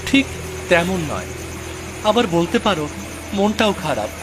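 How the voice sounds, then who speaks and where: A man's voice: high voice, sing-song, a little slow, background noise. A man playing an earnest young villager in a cartoon story, speaking in a pleading, insistent, emphatic way. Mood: subdued, surprised. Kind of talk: dramatized Bengali cartoon story dialogue. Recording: music behind the voice.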